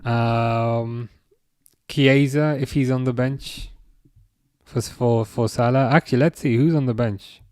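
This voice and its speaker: A man hosting a live watch-along stream, chatting casually with viewers in a relaxed, hesitant way with plenty of fillers. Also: medium pitch, takes it slowly, no room sound, clean studio sound. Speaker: livestream host reading chat.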